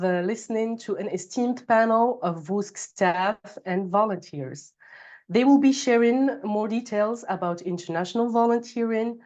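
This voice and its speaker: A woman's voice: mid-range voice, a little mellow. A woman hosting an online webinar, recovering from a cold and reading housekeeping notes with some fillers. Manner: bilingual, reads housekeeping notes with frequent fillers. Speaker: webinar host. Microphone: video call.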